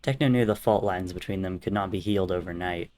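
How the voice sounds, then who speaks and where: A man's voice: low-pitched, fast talker, a little hiss or hum. A man reading fiction as a dramatic, scripted narrator. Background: soft music or ambience.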